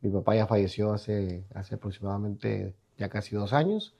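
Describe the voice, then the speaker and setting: Mexican man, mellow and rambling. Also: fairly low pitch, normal speed, vocal fry. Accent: Mexican Spanish. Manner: rambling with many restarts and tag questions. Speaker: guest entrepreneur. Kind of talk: casual interview talk. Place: his own podcast studio.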